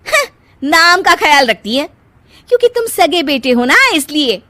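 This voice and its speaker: A woman's voice: sits high, sing-song, moderate pace, sharp tone, pitched above the performer's own voice, exaggerated voice. A woman voicing a spoiled, scheming elder sister-in-law in a cartoon drama, haughty, whiny and demanding.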